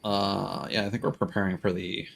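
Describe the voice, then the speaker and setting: A man's voice: deep voice, little pitch movement, normal speed, vocal fry, slight background noise. A man live streaming a game, chatting casually with rambling, profane, opinionated commentary. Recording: music behind the voice.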